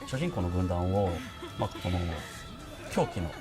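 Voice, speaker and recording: A man's voice: deep voice, expressive, brisk. A man talking spontaneously in an interview as a game creator. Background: music.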